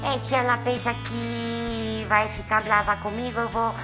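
A woman's voice: a little high, little pitch movement, measured pace, music in the background, close to an everyday voice, poor audio. A woman with a Brazilian accent voicing a chatty, nervous, affectionate young male house cat in a pet vlog. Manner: sweet, coy, chatty to viewers.

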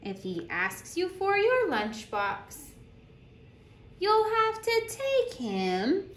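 A woman reading a picture book aloud like a teacher, telling it deliberately and putting questions to young listeners. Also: very high-pitched, wildly swooping pitch. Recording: roomy echo with clear background noise.

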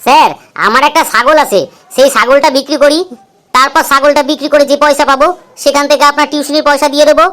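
A cheeky, evasive cartoon schoolboy, played by a woman. The voice is high and delivers silly answers earnestly, as if reciting a lesson in a comedy cartoon. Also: steady tone, quick pace, a bit bright.

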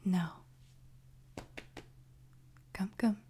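Whispering French maid played by a woman, very slow. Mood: gentle, attentive. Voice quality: soft, breathy whisper. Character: soothing, devoted, gently dominant French maid. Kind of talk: whispered ASMR sleep-aid roleplay. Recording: noticeable background noise, ambience.

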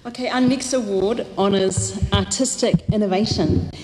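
A woman's voice: medium pitch, moderate pace. A woman reading a formal award introduction at a lectern microphone on a hall stage. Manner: formal, building to an excited announcement. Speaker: award presenter. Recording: hall reverb with faint room noise.

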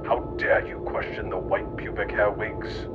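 A man's voice: completely flat delivery, measured pace, over music. A man reading scripted comedic mock history as a narrator, in a theatrical, mock-pompous manner. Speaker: narrator and writer. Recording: muffled with clear rumbling noise.

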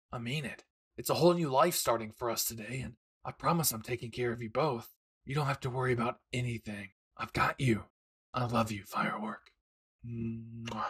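A man playing a brash but devoted young husband and excited father-to-be in an intimate, teasing, tender audio roleplay. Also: mid-range voice, husky.

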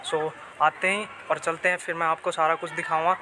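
Young man from Bihar, high flat voice, casual phone vlog over loud street traffic. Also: fairly quick. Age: young adult. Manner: chatty, repeats himself, mixes in English words. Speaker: travel vlogger. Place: outdoors in the city, then inside a moving car. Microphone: phone held at arm's length. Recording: city traffic noise behind.

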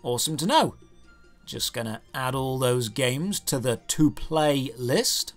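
A man's voice: mid-range voice, lively intonation, measured pace, soft background music. A man narrating a scripted comedic game review with a sarcastic, exasperated manner.

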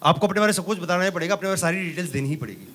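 A man in his thirties performing an animated stand-up comedy set on a club stage with a handheld mic, teasing the audience. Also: high voice, very fast. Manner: animated, gestures a lot, teases the audience. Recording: loud crowd laughter and noise. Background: audience laughter.

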